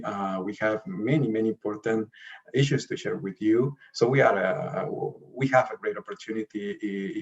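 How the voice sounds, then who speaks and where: A man giving a slide presentation as a director at an online summit, speaking haltingly and often repeating and rephrasing his words. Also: on the low side. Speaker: investment promotion director presenting. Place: remote online video call. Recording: dull, lo-fi video call audio.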